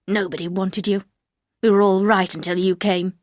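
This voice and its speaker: A woman voicing a bitter, grieving older housekeeper who speaks in a harsh, cold, slow and accusing way. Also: medium pitch, flat delivery, dry recording, studio quality, lightly acted.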